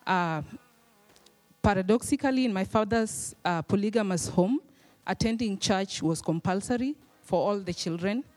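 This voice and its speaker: A young woman from Kenya with a Kenyan accent, telling her life story in church in a modest, hesitant way with soft fillers. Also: mid-range voice, moderate pace. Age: young adult. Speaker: guest speaker. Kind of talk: personal testimony. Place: church hall.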